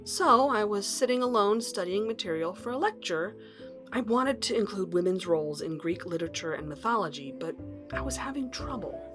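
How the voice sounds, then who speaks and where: A woman acts out a determined female academic in an audio drama. She speaks earnestly and emphatically at a middle pitch, as a wife searching for answers. Music plays behind her. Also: expressive, moderate pace, close to an everyday voice. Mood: reflective. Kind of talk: audio drama acting and narration.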